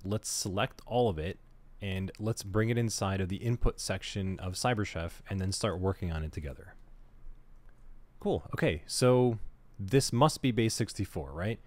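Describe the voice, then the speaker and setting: Guy with a lowish voice doing a laid back screen tutorial, chatty, thinks out loud. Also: moderate pace, no echo. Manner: relaxed, conversational, thinks aloud while working. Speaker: cybersecurity instructor. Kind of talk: screen-recorded tutorial walkthrough.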